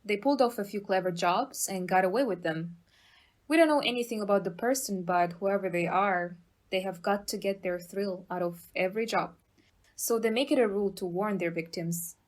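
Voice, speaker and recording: Woman doing a bossy cop inspector in a radio play, mid voice, gruff n excitable, blustery. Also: medium pitch, normal speed, natural voice. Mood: explaining. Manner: blustering, exclamatory, commanding. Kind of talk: audio play acting.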